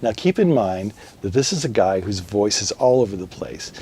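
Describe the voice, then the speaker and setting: A man's voice: on the low side, big pitch swings, normal speed, dark tone, breathy edge. A man presenting a scripted promotional narration with a storytelling structure. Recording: music bed under voice.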